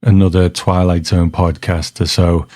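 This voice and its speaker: A man's voice: low-pitched, little pitch movement, measured pace, warm tone, no echo, studio quality. A man hosting a podcast, casually introducing a guest in conversational talk. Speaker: podcast host.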